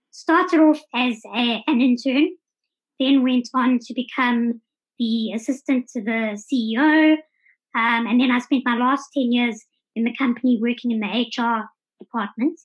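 A woman's voice: on the high side, breathy edge, lo-fi sound. A South African woman in her thirties giving articulate, orderly answers as a guest in a conversational interview. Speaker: podcast guest.

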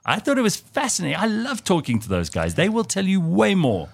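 A man with a South African accent hosting a radio talk show, telling stories in a lively, animated way and putting on the voices of the people he quotes. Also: high voice, fairly quick, creaky. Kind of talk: casual talk radio chat.

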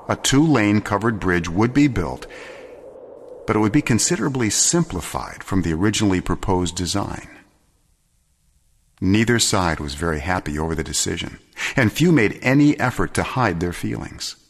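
A man giving a dramatic scripted story reading, measured and solemn, with deliberate pauses and expressive phrasing. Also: fairly low pitch, slightly rough. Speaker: storyteller, narrator.

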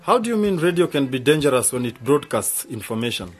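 A husky young man, with very lively intonation. He is an eager, nervous, excitable radio station worker in an audio drama. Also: medium pitch, a little fast, soft background music. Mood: earnest.

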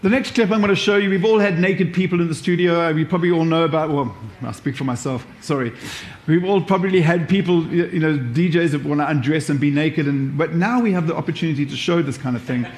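A man's voice: a little high, fast talker, few pauses. A man with a South African accent giving an informal live presentation, talking through examples as a workshop presenter. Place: echoey room, live event. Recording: echoey room sound.

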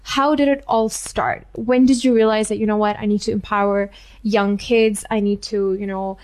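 A woman hosting a morning radio interview, asking measured questions and giving affirming responses. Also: medium pitch, steady tone, a little mellow. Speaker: radio show host.

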